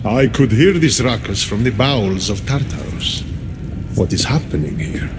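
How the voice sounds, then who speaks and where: An actor playing a sly, amused god who rules the underworld in a video game, with a smug, theatrical manner. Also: male voice, mid-range voice, lively intonation, normal speed, over loud music, close to an everyday voice. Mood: amused, imperious. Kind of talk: video game cutscene acting.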